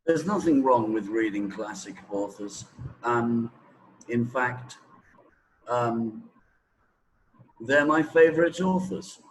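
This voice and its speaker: A man of sixty, a native English speaker, explaining his views in an interview in a halting, deliberate way, as if thinking aloud. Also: mid-range voice, poor audio. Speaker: teacher trainer in reading, interview guest. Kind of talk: interview talk, explaining opinions.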